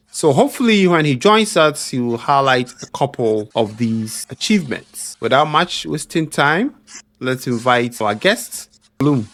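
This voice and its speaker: A man's voice: medium pitch, a bit bright. A man hosting an academic interview podcast, friendly and encouraging as he introduces and questions his guest. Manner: friendly, encouraging, laughs along. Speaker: podcast host.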